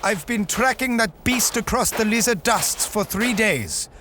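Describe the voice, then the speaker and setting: An actor playing a bold, shrewd rival hunter in a science fiction audio drama, with a declamatory, challenging delivery. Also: male voice, high voice, expressive, measured pace, sharp tone, slight background noise, exaggerated voice. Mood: bargaining.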